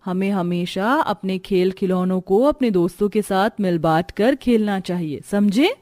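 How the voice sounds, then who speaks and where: Mellow, gentle poor mother played by a woman. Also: mid-range voice, unhurried, dry recording, clean studio sound, close to an everyday voice. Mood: gently instructive. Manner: gentle, instructive. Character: kind, dutiful poor mother and housemaid.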